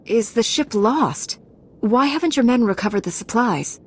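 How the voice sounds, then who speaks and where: A woman's voice: lively intonation, normal speed. A woman playing a composed, resolute female knight in a science fiction video game. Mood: calm, questioning. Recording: clear background noise, low rumble.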